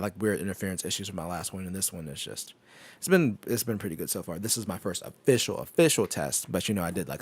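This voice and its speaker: A man with a low voice chatting casually on a home live stream, rambling with many fillers and frequent laughs, into a microphone on an arm. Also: slightly rough. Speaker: tech streamer. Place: home desk setup. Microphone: microphone on an arm through an audio interface.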